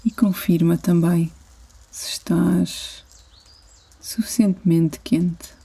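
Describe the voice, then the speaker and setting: A woman with a European Portuguese accent guides a sleep meditation as a yoga instructor, soothing and gentle, with long pauses between phrases. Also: on the low side, steady tone, gravelly, breathy edge.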